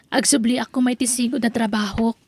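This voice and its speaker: Breathy voice, woman acting a younger sister, higher pitch, soft and hesitant. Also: fairly even intonation, fairly quick. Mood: worried. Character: worried younger sister, a mother. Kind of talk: amateur audio drama acting.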